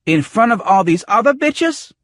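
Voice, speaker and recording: A man voicing a furious, foul-mouthed prostitute who shouts indignant street slang in an audiobook. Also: high-pitched, sing-song, normal speed, no echo, studio quality, voice pushed up. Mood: indignant, goading. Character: foul-mouthed, shrill, furious young bar prostitute woman. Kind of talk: audiobook character voice.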